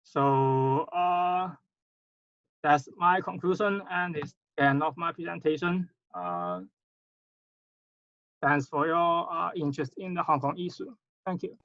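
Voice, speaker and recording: Casting: male seminar speaker, gravelly and halting, dull lo-fi dry sound. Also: fairly high pitch, a bit bright, no echo. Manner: halting, many fillers and repeated words. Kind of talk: online seminar presentation.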